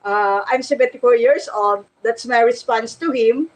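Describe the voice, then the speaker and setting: Slow-talking Filipino woman vlogger, higher voice. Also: poor audio. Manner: giggly, halting. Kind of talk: casual chat to viewers.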